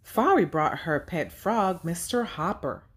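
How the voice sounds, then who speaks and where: A woman teaching young children and reading a storybook aloud, speaking simply and deliberately and putting questions to her listeners. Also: on the low side, lively intonation, husky.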